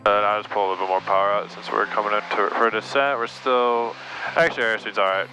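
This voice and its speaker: A man coaching a pilot calmly from the right seat of a small plane, giving short directions over a headset. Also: lowish voice, fast, poor audio. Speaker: flight instructor. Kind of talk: casual in-flight instruction. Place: small plane cockpit in flight. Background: music.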